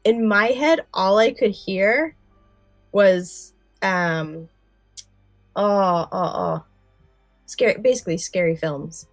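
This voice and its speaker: A woman's voice: medium pitch, slow pace, pauses often, no echo, faint music behind. A woman co-hosting a podcast, moving between casual chat and prepared summaries. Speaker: podcast co-host.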